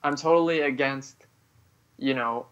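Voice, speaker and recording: Halting man, dull lo-fi sound. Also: mid-range voice, a bit bright. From Vietnam. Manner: halting, heavy on "you know" fillers. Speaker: guest writer. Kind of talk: podcast interview.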